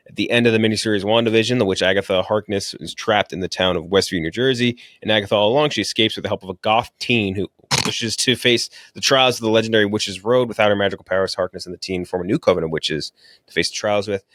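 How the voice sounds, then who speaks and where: A man talks at a quick clip in a lowish voice, close and dry on the recording. He is a podcast co-host giving opinions on movies and shows in casual, slangy language with some swearing. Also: a bit bright, dry recording. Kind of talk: casual opinionated film and TV chat.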